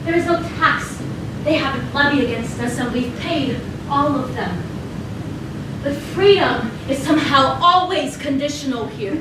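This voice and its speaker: Very high-voiced woman, rhythmic stage poet, heard from afar in a booming hall. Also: measured pace, noisy background. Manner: rhythmic, impassioned declamation. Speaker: stage performer. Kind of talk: spoken-word poetry in a cabaret show. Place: theater stage, live. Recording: echoey stage from a distant mic.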